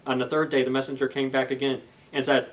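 A man in his thirties reading a children's story aloud expressively, pausing for asides to young listeners. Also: medium pitch, flat delivery. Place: indoors, library children's room. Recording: slightly distant camera microphone.

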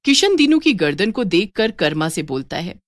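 A woman narrates a scripted story. Her voice is slightly low with very lively intonation, recorded close and dry. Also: moderate pace, dry recording.

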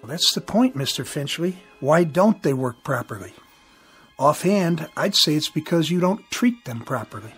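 A man's voice: on the high side, lively intonation, normal speed, a bit bright, husky, faint music behind, exaggerated voice. An actor playing a patient working-class TV repairman in a radio drama, talking in a blunt, folksy, colloquial way.

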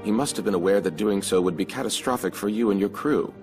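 Stoic vulcan first officer guy man voice acting, deadpan and formal, lower voice, sci-fi game w music. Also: quick pace, close to an everyday voice. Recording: music loud under the voice.

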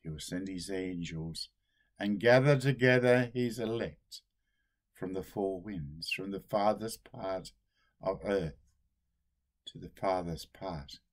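An older man, a priest, giving a solemn sermon at a slow, deliberate pace, recorded on a webcam in a small room at home. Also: low-pitched.

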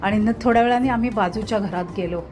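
Woman vlogger, casual tour narration, mid pitch, music bed clearly under her voice. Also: quick pace. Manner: enthusiastic, points things out to viewers. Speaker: travel vlogger. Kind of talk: casual narration touring a farm.

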